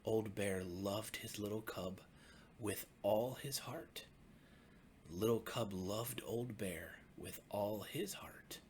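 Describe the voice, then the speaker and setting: A man, a pastor, narrating a bedtime story for children in a gentle, expressive way with deliberate phrasing. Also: fairly low pitch, slight background noise.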